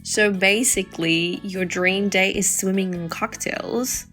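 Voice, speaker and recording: A woman's voice: mid-range voice, fairly even intonation, slow, a bit bright, soft background music. A woman playing a playful, teasing young woman in a romantic summer audio drama.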